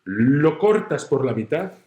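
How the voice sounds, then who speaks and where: A middle-aged man from Spain with a Spanish accent, giving a measured, explanatory lecture. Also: mid-range voice, very animated, mellow, echoey room. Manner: measured, explanatory, uses everyday examples. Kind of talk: recorded university lecture.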